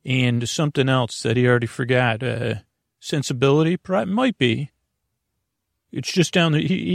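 A man hosting a bedtime podcast, talking in a lulling, creaky way and wandering off on meandering tangents. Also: mid-range voice, no echo, studio quality. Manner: meandering, tangent-prone, self-interrupting. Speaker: podcast host. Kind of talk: rambling bedtime podcast talk.

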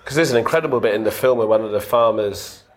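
Halting, stumbling male interviewer. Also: on the low side. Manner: halting, many restarts and fillers. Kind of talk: casual interview conversation.

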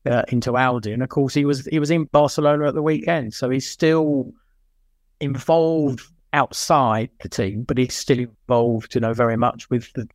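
A man's voice: mid-range voice, a little mellow, dry recording, studio quality. A middle-aged or older man co-hosting a podcast, chatting casually about motorsport in a rambling way, repeating words for emphasis. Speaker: podcast co-host.

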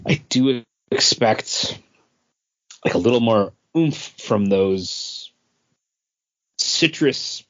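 A man co-hosting a podcast, chatting in a measured, thoughtful way. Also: mid-range voice. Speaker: podcast co-host. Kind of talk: casual chat. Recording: dull lo-fi sound.

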